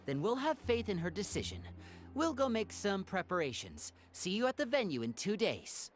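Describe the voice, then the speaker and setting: A woman playing a composed, courteous young stage magician with measured, polite speech in an anime-style game. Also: medium pitch, very animated, close to an everyday voice. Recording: clear music behind the voice. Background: music.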